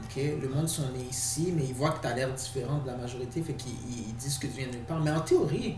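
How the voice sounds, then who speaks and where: A man's voice: medium pitch, expressive, fast talker, darkish tone, recorded from a distance, soft background music. Explaining Quebec French man, off-mic. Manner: explanatory, mixes in English slang. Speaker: podcast co-host. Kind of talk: casual discussion. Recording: echoey room, off-mic, faint noise.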